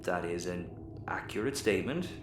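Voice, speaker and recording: An actor playing a hungover, suspicious Irish space captain who rambles, in a sci-fi audio comedy. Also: male voice, low voice, animated, moderate pace, faint music behind. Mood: groggy. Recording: roomy echo, clear background noise.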